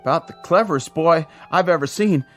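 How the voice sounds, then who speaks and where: A man's voice: on the high side, brisk, faint music behind, lightly acted. A man playing a frightened small-town grocery delivery man in an audio drama, stammering and nervously agreeing. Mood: uneasy.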